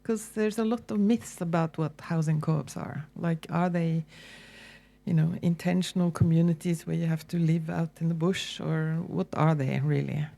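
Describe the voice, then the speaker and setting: Woman, Norwegian accent, lecturer, gravelly low voice, mellow tone, conversational with hesitations. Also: moderate pace. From Norway. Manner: relaxed, conversational, with frequent ums and restarts. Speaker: university lecturer. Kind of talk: public lecture.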